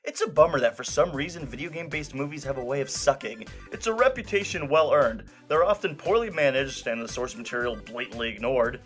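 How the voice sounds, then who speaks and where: A man's voice: medium pitch, brisk, hardly any pauses, a bit bright, faint music behind. A man hosting and narrating a scripted film review in a wry, conversational, emphatic way. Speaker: film reviewer, show host.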